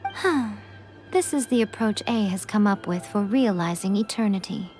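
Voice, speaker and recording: A woman's voice: mid-range voice, a little mellow, faint music behind, close to an everyday voice. A woman playing a sly, worldly shrine priestess in a video game, teasing and self-assured with a knowing air. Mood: knowing, explanatory. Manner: teasing, knowing, unhurried condescension. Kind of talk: video game cutscene acting.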